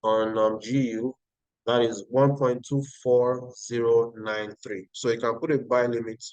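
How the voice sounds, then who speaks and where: A man's voice: medium pitch, little pitch movement, a little slow, darkish tone, clean tone, no room sound, highs cut off. A Nigerian-accented man hosting a live trading stream, talking viewers through charts and answering their chat. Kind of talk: live-stream market analysis.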